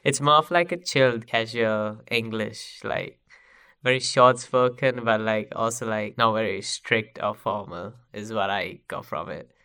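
Bright, smooth-voiced young man from Sri Lanka. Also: lowish voice, measured pace, no echo, studio quality. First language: Sinhalese. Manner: relaxed, filler-heavy, says "like" often. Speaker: guest. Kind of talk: casual podcast chat.

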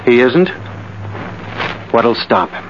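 An actor playing a weak, guilt-ridden embezzler in a 1940s radio thriller, agitated, pleading and hesitant. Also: male voice, medium pitch, big pitch swings, slow. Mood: fearful, reluctant. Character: weak, panicky, guilt-ridden male embezzler and junior partner. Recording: muffled old radio recording with hiss.

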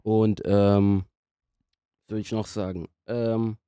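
A young man speaking casually as a podcast guest, in a halting way as he searches for words. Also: fairly low pitch, level tone, dark tone, smooth, no echo, clean studio sound. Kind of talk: casual interview conversation.

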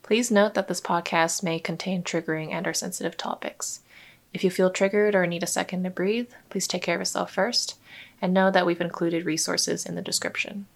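A woman hosting a podcast, reading a measured introduction. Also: fairly low pitch, steady tone, vocal fry. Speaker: podcast host.

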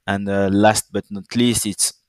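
A young man with a French accent, a guest on a business podcast, speaking in deliberate, halting second-language phrasing. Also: on the low side, little pitch movement, no room sound. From French Caribbean island. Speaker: guest, partner account manager.

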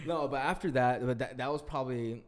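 A young man co-hosting a podcast, telling stories in a relaxed, slangy way with plenty of swearing. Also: mid-range voice, fairly quick. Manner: slangy storytelling with swearing and laughter. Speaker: podcast co-host. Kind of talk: casual chat.

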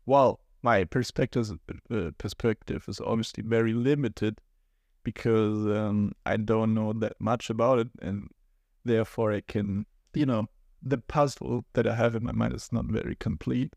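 Creaky-voiced German man, hesitant. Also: on the low side, fairly even intonation, normal speed, darkish tone, no echo. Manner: hesitant, thinks aloud with fillers and laughs. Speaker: podcast guest. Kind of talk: casual podcast chat.

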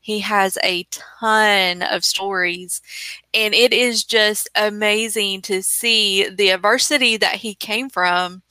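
A woman's voice: medium pitch, fairly even intonation, takes it slowly, pushed and bright, clean tone, no room sound. A woman hosting a podcast interview over a remote call, enthusiastic and effusive in casual chat. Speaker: podcast host.

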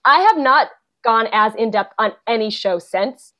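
A young adult woman, a guest actor and playwright, chatting casually in an interview, full of fillers and quick laughs. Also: a little high, expressive, bright, forceful tone. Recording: dull lo-fi podcast audio.

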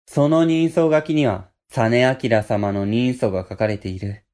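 An actor playing a devoted, solemn samurai retainer in a Japanese period audio drama, with terse, formal, archaic speech. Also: male voice, medium pitch, unhurried, a little mellow, natural voice. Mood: grave, firm.

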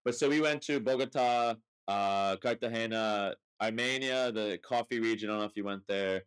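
A man's voice: lowish voice, moderate pace, clean tone. A young man with an American accent hosting a podcast in an informal, rambling, conversational way. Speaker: podcast host. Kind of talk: casual chat.

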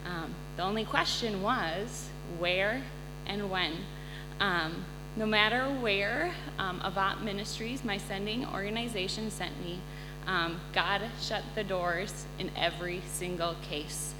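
A woman's voice: medium pitch, slow. Earnest woman testifying in a humming, echoey hall. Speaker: missionary and incoming resident assistant. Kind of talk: personal testimony to a live audience. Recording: echoey hall, loud electrical hum.

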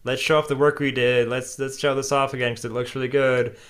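Eager male podcast co-host, mid-pitched, giggly, full of fillers and excited restarts. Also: a little fast. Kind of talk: casual fan chat recapping an episode.

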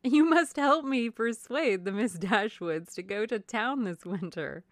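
A woman voicing a merry, silly young married gentlewoman in a classic novel, effusive and giggly. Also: on the high side, expressive, measured pace, no room sound, studio quality, pitched above the performer's own voice. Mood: gushing, pleading. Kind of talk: audiobook character voice, classic novel.

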